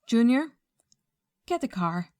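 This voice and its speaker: Woman acting a slow-spoken, commanding female mafia boss. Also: fairly high pitch, very animated, darkish tone, no echo, clean studio sound. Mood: composed, decisive. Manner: slow, deliberate, authoritative. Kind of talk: ASMR audio roleplay acting.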